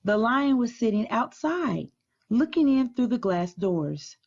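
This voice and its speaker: A woman school librarian reading aloud and giving instructions to children in a deliberate, teacherly way. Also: on the high side, very animated, a little mellow, dull audio. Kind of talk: storybook read-aloud and activity instructions.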